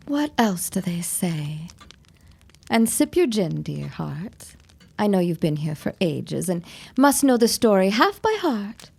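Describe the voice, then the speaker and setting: A woman's voice: medium pitch, sing-song, slow, gravelly, a little mellow, breathy edge, exaggerated voice. An actress playing a sly, worldly woman in a period audio drama, coaxing gossip out of people with arch, theatrical charm. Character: sly, worldly woman pumping neighbours for gossip over gin. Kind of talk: period radio-drama acting.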